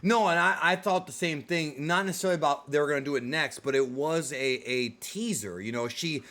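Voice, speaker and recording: A man's voice: fairly high pitch, brisk, bright, forceful tone. A man hosting a casual, enthusiastic movie and game discussion, speaking emphatically straight to the viewers. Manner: emphatic, addresses viewers directly. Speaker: host of a movie and video game discussion show. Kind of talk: casual enthusiastic video commentary chat.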